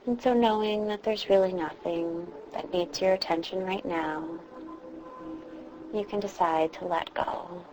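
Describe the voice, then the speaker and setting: A woman leading a guided meditation in a soothing, reassuring manner, with drawn-out pauses between phrases. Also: on the low side, steady tone. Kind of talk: guided meditation, instructing a listener. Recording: clearly noisy background ambience.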